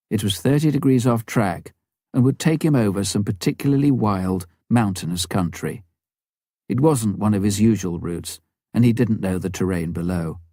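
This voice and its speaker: A man reading a scripted story as an audiobook narrator, measured and dramatic, with deliberate pauses. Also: sits low, warm tone, no echo, clean studio sound. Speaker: audiobook reader.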